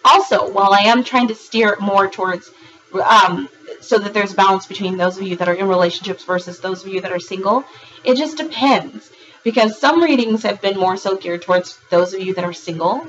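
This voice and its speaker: A woman with a hoarse, raspy voice from a recent virus, giving a monthly tarot reading in a rambling way, with frequent ums and restarts. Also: medium pitch, moderate pace, fairly bright tone, lo-fi sound, slight background noise. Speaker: tarot reader.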